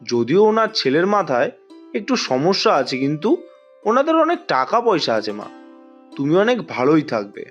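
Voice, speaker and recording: A man's voice: a little high, sing-song, moderate pace, faint music behind. A man playing an insistent middle-aged village uncle in a cartoon, persuasive and emphatic, on a dull recording. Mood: coaxing, firm.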